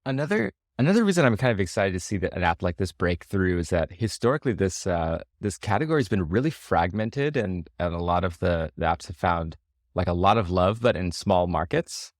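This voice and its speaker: A man chatting casually about tech as a podcast co-host, thoughtful and halting in his phrasing. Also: sits low, lively intonation, no echo, studio quality.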